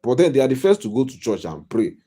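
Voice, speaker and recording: Mellow-voiced Nigerian man rambling on. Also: medium pitch, sing-song, fast talker. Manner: rambling and repetitive, with wry humor. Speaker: life coach streaming live. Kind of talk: casual live-stream monologue. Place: home.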